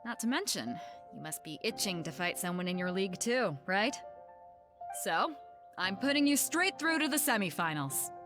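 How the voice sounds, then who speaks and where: A woman's voice: medium pitch, sing-song, moderate pace, music in the background, close to an everyday voice. An actress playing a bold, confident woman ship captain in a video game, brash and teasing.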